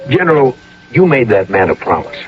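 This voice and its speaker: A man's voice: medium pitch, big pitch swings, brisk, soft background music, lightly acted. An actor playing an earnest, intelligent major in a radio drama, deferential to his general, formal and a little hesitant. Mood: concerned, questioning. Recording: dull, noisy old radio recording.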